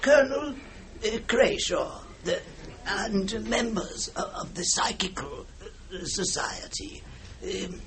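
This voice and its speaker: A man in an old-time radio drama playing an ailing, drunken retired major of about sixty, creaky and stammering with hesitation. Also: high-pitched, sing-song, takes it slowly, a bit bright, heavily acted. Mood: solemn, fervent. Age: older. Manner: stammering, hesitant, faltering speech.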